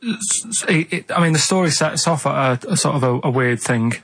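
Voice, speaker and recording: A man telling a comic story casually on a radio show, in a rambling, vague and halting way. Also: fairly high pitch, animated, a bit bright, slightly rough.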